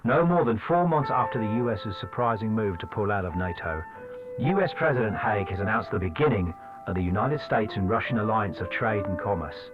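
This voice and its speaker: A man's voice: lowish voice, lively intonation, a little fast, natural voice. A man playing a grave television news anchor, formally delivering a weighty bulletin in an audio drama. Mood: grave, momentous. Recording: dull television broadcast sound under music.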